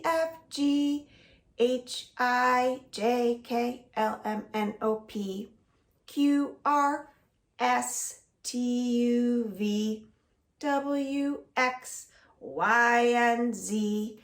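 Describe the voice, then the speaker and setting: A woman librarian reading a picture book and singing for young children at home, slow and coaxing, inviting them to join in. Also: fairly high pitch, echoey room. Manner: slow, singsong and coaxing, asks the listeners to join in.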